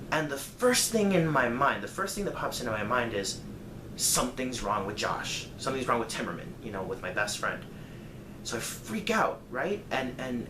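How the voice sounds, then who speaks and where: A man telling a personal story casually, in a rambling, conversational way with dramatic emphasis. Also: medium pitch. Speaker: storyteller vlogger. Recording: echoey room, clear background noise.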